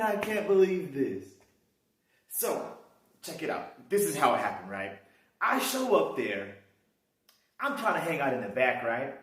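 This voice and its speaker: Tax collector, amazed, lively swings in pitch, echoey room, off-mic. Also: male voice. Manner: talks to himself, animated and amazed. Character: Zacchaeus, excitable repentant tax collector man. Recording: roomy echo from a distance.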